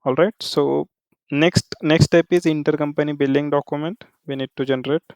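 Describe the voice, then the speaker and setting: A man working as a software trainer, explaining a screen walkthrough step by step in a halting way with repeated check-in tags. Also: a little high, fairly even intonation, dry recording.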